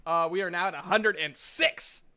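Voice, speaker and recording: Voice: mid-range voice, moderate pace, sharp tone, clear, resonant tone. A game master hosting a livestream, chatting casually and recapping a tabletop game in an informal, sweary way. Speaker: game master and stream host.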